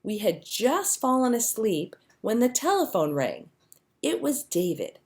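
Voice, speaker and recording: A woman reading a children's story aloud as a narrator, with expressive storytelling delivery. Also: on the high side, unhurried. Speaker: storybook reader.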